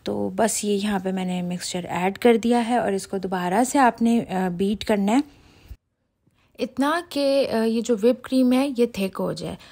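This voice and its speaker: A woman's voice: medium pitch, a little slow, darkish tone. A middle-aged woman hosts a casual home cooking voice-over, chatting directly to viewers. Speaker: home cooking channel host. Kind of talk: casual recipe voice-over tutorial.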